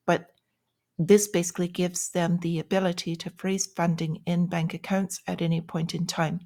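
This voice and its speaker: A woman's voice: fairly low pitch, darkish tone. A woman giving informal news commentary in a plain-spoken, explanatory and cautionary way, as the host of a financial vlog.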